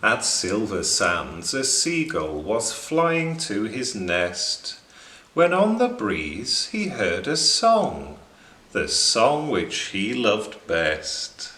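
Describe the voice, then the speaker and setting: A man narrates a children's storybook expressively. His voice is on the low side with wide, lively intonation and a slow pace. The room echoes around him. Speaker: story reader. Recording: echoing room.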